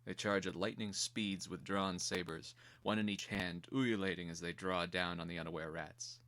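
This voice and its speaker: Deep-voiced man reading a screenplay dramatically. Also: fairly even intonation, normal speed, a bit bright. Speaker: narrator.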